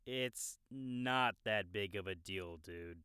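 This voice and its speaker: A man's voice: lowish voice, slow, pushed and bright, clear, resonant tone, no echo, clean studio sound. A man playing a cocky, laid-back young gamer guide in an audiobook, casual, slangy and teasing. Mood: casual, helpful. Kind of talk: audiobook character dialogue in a game-world story.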